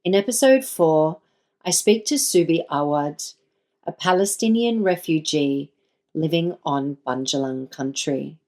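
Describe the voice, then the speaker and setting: A woman hosting a podcast, reading a scripted intro and asking questions in a measured, deliberate way. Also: sits low, a little mellow. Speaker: podcast host.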